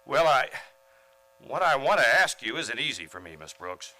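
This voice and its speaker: Man acting a bright-voiced, shy, earnest gentleman. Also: medium pitch, very animated, normal speed, poor audio. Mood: earnest, hesitant. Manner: earnest, hesitant, polite. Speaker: actor in a community radio play. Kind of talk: old-time radio comedy acting.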